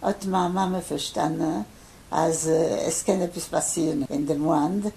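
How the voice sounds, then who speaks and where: An older man from Poland, a native Polish speaker, gives a hesitant interview answer full of pauses and fillers. His voice sits low and gravelly, with a dark, mellow tone. A faint hiss of noise runs underneath. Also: fairly even intonation. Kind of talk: halting interview in Yiddish.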